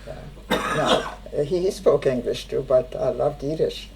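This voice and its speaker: An older woman, a native Hungarian speaker, giving spoken testimony in a halting way, often searching for words. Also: rumbling low, creaky, poor audio. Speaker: interviewee.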